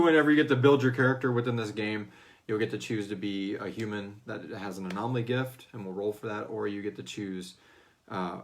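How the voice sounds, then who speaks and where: A man's voice: low-pitched, slight background noise. A man hosting a casual question-and-answer chat, speaking informally and loosely, with some swearing. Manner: informal, swearing, acts out quotes. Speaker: host and series creator.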